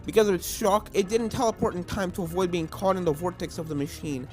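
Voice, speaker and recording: A storyteller reading fan fiction aloud in a dramatic scripted narration style, at a medium pitch. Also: fairly even intonation, fast, darkish tone. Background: video game music.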